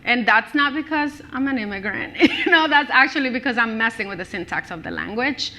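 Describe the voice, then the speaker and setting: A woman author with a Dominican accent, Spanish her first language, answering audience questions at a live book event, chatty and quick to laugh. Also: on the high side, very animated, sharp tone. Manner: chatty, laughs often, lots of "like" and "you know".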